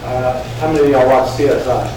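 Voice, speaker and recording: A soft-spoken man, teaching a class as a sheriff's crime investigator, halting, with many fillers. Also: medium pitch, steady tone. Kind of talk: classroom talk with slides. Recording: echoey room, mic far off, noisy.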